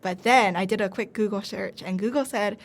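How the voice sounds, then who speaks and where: A young woman from the United States talks in a casual chat at an ordinary mid pitch. She tells stories hesitantly, with plenty of "um" and "like", and a gently self-deprecating touch. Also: a little fast. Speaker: guest teacher.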